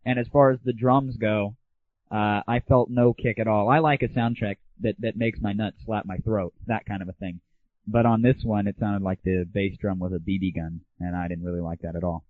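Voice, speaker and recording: A man's voice: on the low side, fairly even intonation, moderate pace, no echo. A man hosting an internet audio show, giving casual, opinionated solo commentary with irreverent, crude humor. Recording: thin phone-like early internet audio.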